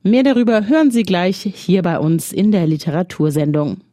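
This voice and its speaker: A woman hosting a radio literature show, reading her script in a calm, measured way. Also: lowish voice, over loud music. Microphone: studio microphone.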